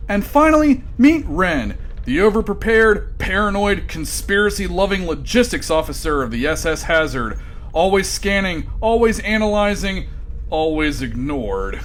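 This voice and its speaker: A man's voice: high voice, very animated, moderate pace, fairly bright tone, a little hiss or hum. A man narrating a comedy radio drama with grand, theatrical delivery.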